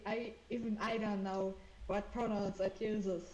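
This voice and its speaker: A woman roleplay player on voice chat with a loud voice, often stammering and restarting her sentences. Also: mid-range voice, level tone, a little slow, dull audio, a loud crowd. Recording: echoey room voice chat.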